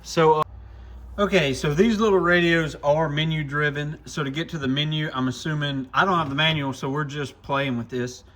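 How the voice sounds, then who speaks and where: A man's voice: on the high side, steady tone, moderate pace, fairly bright tone. A man hosting a casual hands-on product demo, thinking aloud informally as he tinkers. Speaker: radio shop reviewer and video host.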